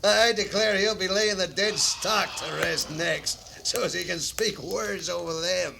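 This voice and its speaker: An actor playing a callous, sardonic, folksy frontier cavalry trooper in a 1950s western radio drama. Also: male voice, high voice, slow pace, vocal fry, heavily acted. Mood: sly mockery. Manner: folksy, sly frontier drawl talk. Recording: noisy, crackly 1950s radio recording.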